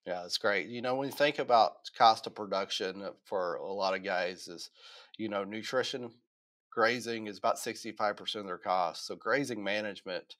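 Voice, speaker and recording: A man's voice: lowish voice, a bit bright. A man hosting a podcast interview, casually affirming his guest and adding his own longer explanations. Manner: affirming, drawn-out explanatory asides. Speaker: podcast host.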